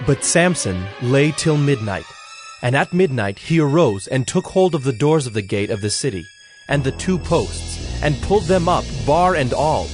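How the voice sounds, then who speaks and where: A man narrating dramatized scripture in a measured storytelling manner. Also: mid-range voice. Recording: dry studio with music underneath. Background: music.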